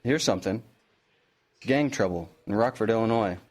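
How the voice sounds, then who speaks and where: Man playing an easygoing, low-voiced small-town cop. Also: moderate pace, darkish tone, close to an everyday voice. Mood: informative. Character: easygoing, polite small-town police officer, a bachelor. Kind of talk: audio drama acting, natural dialogue.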